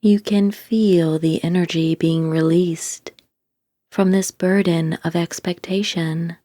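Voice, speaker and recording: Slow, low-voiced woman guiding meditation. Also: dry recording, clean studio sound. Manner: calm, soothing, deliberate. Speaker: guided meditation narrator. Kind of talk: scripted guided meditation, slow gentle instruction.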